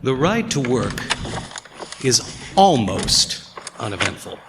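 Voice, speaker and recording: A man's voice: mid-range voice, sing-song, slow, over music. An actor playing a world-weary, sardonic male narrator, delivering scripted noir narration in a radio play. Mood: wry, brooding.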